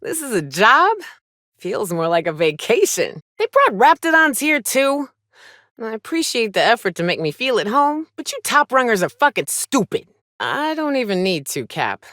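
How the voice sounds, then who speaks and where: A tough female trailblazer from a space frontier video game. Her delivery is sardonic and folksy, with pitch that moves around a lot. The sound is dry and close, and she talks in short, salty remarks like a seasoned companion on the trail. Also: big pitch swings, normal speed, a bit bright, no echo. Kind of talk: video game voice acting, short reactive lines.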